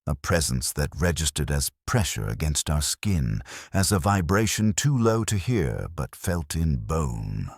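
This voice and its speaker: A man narrating a scripted first-person horror story in a measured, solemn way. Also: rumbling low, no room sound, clean studio sound.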